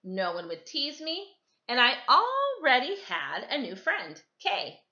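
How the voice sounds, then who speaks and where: A woman's voice: high voice, huge pitch swings, takes it slowly, sharp tone. A woman reading a storybook aloud for children at home, with casual asides to her listeners. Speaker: storytime reader. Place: indoors at home, kitchen and another room. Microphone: camera microphone. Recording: echoey room, slightly dull camera audio.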